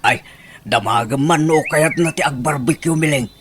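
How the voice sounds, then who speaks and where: A man's voice: on the high side, moderate pace, a little hiss or hum, close to an everyday voice. An actor playing an easygoing older village man in an Ilocano comedy radio drama, with an Ilocano accent. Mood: casual, sheepish.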